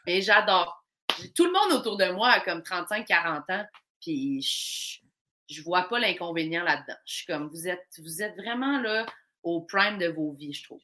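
A woman's voice: lowish voice, moderate pace. A woman in her thirties with a Québécois accent, a comedian guest talking animatedly and laughing often. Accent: Québécois French. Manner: animated and laughing, with many restarts. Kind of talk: casual conversation.

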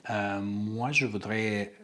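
Low-voiced guy from armenia, earnest interview talk, stops to hunt for words. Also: steady tone, slow pace, sharp tone, a little hiss or hum. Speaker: playwright and director, guest. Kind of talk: interview conversation.

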